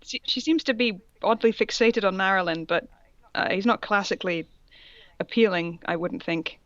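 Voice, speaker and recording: A woman's voice: medium pitch, moderate pace, fairly bright tone, highs cut off. A woman co-hosting a comedy show, casually reading fan fiction aloud and commenting on it. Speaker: co-host reading the female parts.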